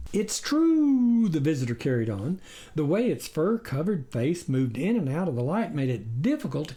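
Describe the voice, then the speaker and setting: Mellow, animated story narrator. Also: mid-range voice. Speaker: author reading own story. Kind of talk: scripted narration of satirical story.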